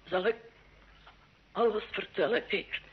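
Actress as a slow, timid grieving peasant mother. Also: mid-range voice, lightly acted. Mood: timid, sorrowful. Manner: halting, meek, sorrowful. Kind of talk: Dutch-language radio play acting. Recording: dull, lo-fi old radio recording.

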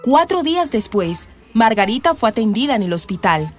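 A woman's voice: fairly high pitch, sing-song, normal speed. A woman narrating a scripted radio drama. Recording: music behind the narration.

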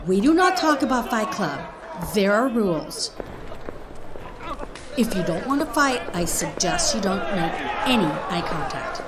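A woman's voice: mid-range voice, sing-song, unhurried, a loud crowd, heavy background noise. A woman playing a breezy, unflappable underworld restaurant hostess with chirpy customer-service politeness in an audio comedy sketch. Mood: dismissive, amused. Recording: music behind the voice.

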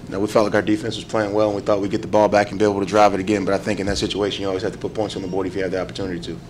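A man in his thirties speaks as a football coach at a postgame press table. He talks fast and halting, with a low voice. The recording carries clear background noise. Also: level tone. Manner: quick, halting, many "you know" fillers. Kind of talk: postgame press conference answers. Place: press room before a sponsor backdrop. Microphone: table mic in front.

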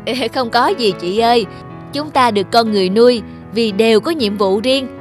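Cartoon guard dog, played by a woman: friendly, polite, patient, high voice over music. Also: normal speed, natural voice. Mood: kind, forgiving. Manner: polite, gently reasoning. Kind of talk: dubbed cartoon fable acting.

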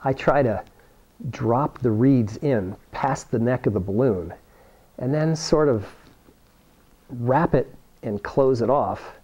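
A man's voice: medium pitch, slow pace, long pauses, dark tone, husky, highs cut off. A man, giving a plain-spoken, encouraging how-to demonstration to camera as an instructor. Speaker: science toy-making instructor. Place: indoor workroom with cabinets.

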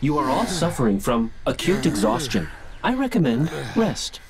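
Game robot: gentle medical companion, literal and courteous, lilting, slightly high register. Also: male voice, big pitch swings, normal speed, a crowd in the background. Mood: calm, clinical. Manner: precise, polite, clinical phrasing. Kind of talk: video game voice acting.

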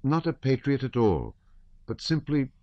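A man's voice: fairly low pitch, sing-song, normal speed, smooth, dull audio, voice pushed up. A man voicing an impulsive, spirited young woman who speaks up with feeling. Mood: injured protest.

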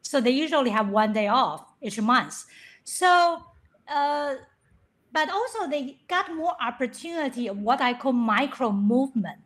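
A woman's voice: high-pitched, unhurried, a bit bright. Woman panelist on a video call. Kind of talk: webinar discussion.

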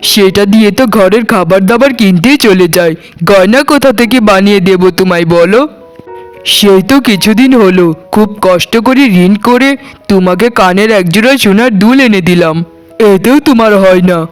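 A man's voice: high voice, moderate pace, clean tone, lightly acted. A man voicing a poor, put-upon labourer husband in a cartoon, plaintive and reproachful. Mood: weary, reproachful. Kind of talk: Bengali cartoon voice acting. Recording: music behind the voice. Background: music.

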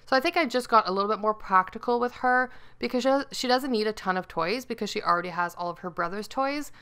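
A woman's voice: medium pitch, fast talker, pushed and bright, clean tone. A woman in her thirties chatting casually to the camera as a vlogger in her home, upbeat with lots of "like" and "um". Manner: chatty, upbeat, many fillers. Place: home dining room and kitchen.

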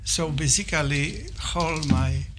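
An older man from Poland with a Polish accent, giving a formal presentation and often pausing with fillers and restarts. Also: a little high, a little hiss or hum. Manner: halting, with many fillers and restarts. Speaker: visiting scholar presenting. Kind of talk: formal presentation to a small audience. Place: office meeting room.

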